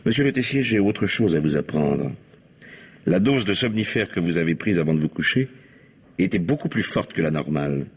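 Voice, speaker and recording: A man playing a shrewd police commissioner in a French radio mystery in 1950s style, questioning briskly and insistently with pointed courtesy. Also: sits low, expressive, husky, heavily acted. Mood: firm, courteous. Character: shrewd, probing middle-aged male police commissioner. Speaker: actor playing a detective.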